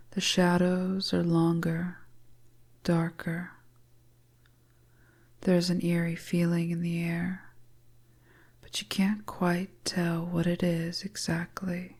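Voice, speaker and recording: A woman narrating a hypnotic story in a hushed, breathy whisper as a storyteller. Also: lowish voice, monotone. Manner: slow, hushed, second-person suspense narration.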